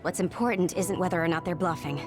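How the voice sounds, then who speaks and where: Woman acting as pragmatic soldier, cool, measured, analytical, game cutscene dub, loud music behind. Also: fairly low pitch, fairly even intonation, natural voice. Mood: cool reasoning. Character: shrewd, calculating, level-headed young soldier, coolly pragmatic.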